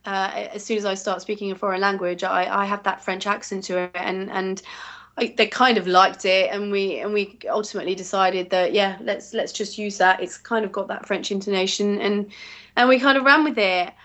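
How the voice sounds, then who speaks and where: A woman's voice: medium pitch, steady tone, normal speed, a bit bright. An English woman, a voice actress, chatting casually in an interview and rambling through fillers and restarts. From England.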